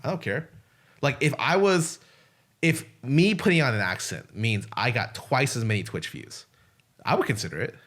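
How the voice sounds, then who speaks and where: A man's voice: medium pitch, animated, normal speed, a bit bright. A man co-hosting a podcast, chatting casually and frankly and swearing freely. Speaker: podcast co-host.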